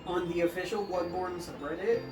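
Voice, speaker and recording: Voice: mid-range voice, fairly quick, music in the background. A podcast co-host introducing a topic in a hesitant way, full of "uh"s and repeated words. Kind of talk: casual podcast chat. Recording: echoey room, far from the mic.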